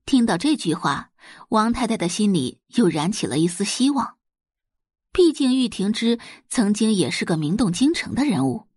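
A woman's voice: mid-range voice, sing-song, moderate pace, a little mellow, slightly rough, no room sound, clean studio sound. A woman reading scripted dramatic narration as the narrator of an audio drama.

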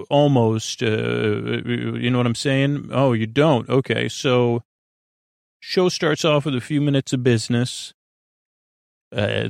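A man with a creaky voice hosting a sleep podcast in a meandering, halting monologue that keeps interrupting itself. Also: medium pitch, dry recording, clean studio sound. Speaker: podcast host.

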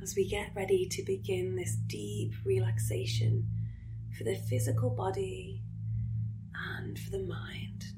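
Slow, soothing woman guiding meditation. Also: mid-range voice, level tone, loud music behind the voice, low-quality recording. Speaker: yoga nidra meditation guide. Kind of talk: guided relaxation meditation.

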